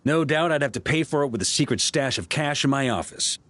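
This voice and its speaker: A man voicing a hearty, easygoing knight commander in a video game, with a relaxed, wry delivery. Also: medium pitch, fairly quick, no room sound, lightly acted. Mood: thoughtful, wry. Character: weathered male knight commander, easygoing, hearty, returning hero.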